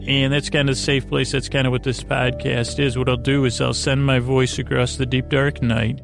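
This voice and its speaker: Creaky-voiced man rambling softly at bedtime. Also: mid-range voice, little pitch movement, music in the background. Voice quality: creaky, lulling. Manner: meandering, halting, self-interrupting. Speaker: host of a bedtime sleep podcast. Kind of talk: rambling, silly bedtime monologue. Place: small closet, close and dry.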